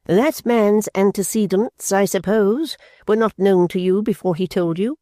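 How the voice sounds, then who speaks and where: Man doing a stern cold older mother, raised pitch, slow deliberate words, dry close sound. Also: dark tone, dry recording.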